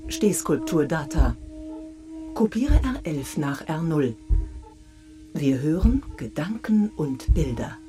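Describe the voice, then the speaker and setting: A cold announcing machine. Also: female voice, deep voice, slow pace, long pauses, exaggerated voice. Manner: flat, clipped announcements. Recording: music playing behind.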